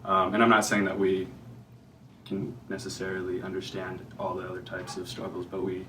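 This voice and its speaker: Deep, flat-voiced teenage boy. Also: moderate pace. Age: teens. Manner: hesitant, many ums. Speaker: student panelist. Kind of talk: casual panel talk. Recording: distant mic, noisy.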